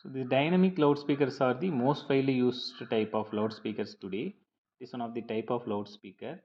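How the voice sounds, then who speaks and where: A dull, lo-fi recording carries a man's mid-pitched voice. He reads a prepared lecture as a teacher would, explaining point by point in a measured, slightly halting manner. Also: fairly even intonation. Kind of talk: scripted lecture narration over slides.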